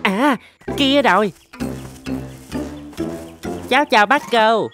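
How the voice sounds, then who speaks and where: An actor voicing a cocky, pushy young goat kid in an animated fable, boastful and pleading in a sing-song way. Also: mid-range voice, slow pace, music in the background, natural voice. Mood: eager. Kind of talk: Vietnamese animated fable dialogue.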